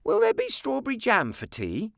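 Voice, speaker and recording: A man's voice: high voice, wildly swooping pitch, moderate pace, vocal fry, fairly bright tone, dry recording, clean studio sound, voice pushed up, full character voice. A man voicing a mischievous little Edwardian boy in a storybook, with a prim, mock-innocent and sly manner.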